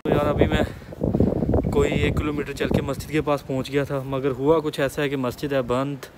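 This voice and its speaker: A man, chatting casually to a phone camera held at arm's length as he vlogs on city streets and in a flat. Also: a little high, normal speed, very noisy. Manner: chatty, walking and talking to a handheld camera. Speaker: vlogger. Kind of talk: casual vlog chat. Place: city streets outdoors, then a flat indoors.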